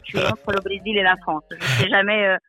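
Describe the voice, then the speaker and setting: A woman's voice: medium pitch, a little fast, pushed and bright, slightly rough. A woman from Brazil with a Brazilian accent, a shop owner giving a radio interview, hesitant with many fillers. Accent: Brazilian Portuguese. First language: Portuguese. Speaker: shop owner and association president.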